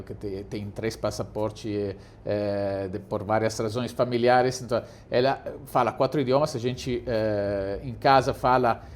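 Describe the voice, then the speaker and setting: A middle-aged Italian man with an Italian accent, a guest in an interview, speaking with many fillers and restarts. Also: mid-range voice. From Italy. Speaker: guest, cruise executive. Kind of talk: casual interview talk.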